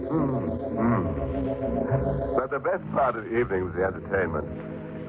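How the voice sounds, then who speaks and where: A man playing a gleefully morbid, mock-cordial horror show host on 1940s radio, drawling with droll relish. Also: on the low side. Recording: muffled, tinny 1940s radio, organ music behind.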